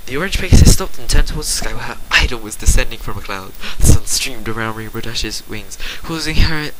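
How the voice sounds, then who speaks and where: A man's voice: mid-range voice, animated, fairly quick. A man giving an unrehearsed dramatic story reading, amused, often stumbling and correcting himself, in a noisy recording. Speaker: amateur story reader. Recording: loud background noise with clicks.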